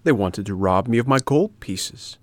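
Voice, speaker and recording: A man voicing an eager, excitable wooden puppet boy in a storybook reading. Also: lowish voice, moderate pace, no room sound, close to an everyday voice. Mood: earnest.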